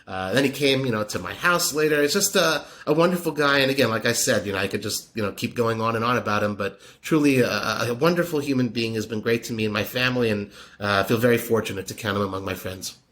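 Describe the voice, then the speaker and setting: A man chats as an interview guest, wandering through his story with many fillers and restarts. His voice sits at an ordinary middle pitch, and he sounds admiring of his subject. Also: fast talker, hardly any pauses, fairly bright tone. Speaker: podcaster and poker media guest. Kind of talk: casual interview chat.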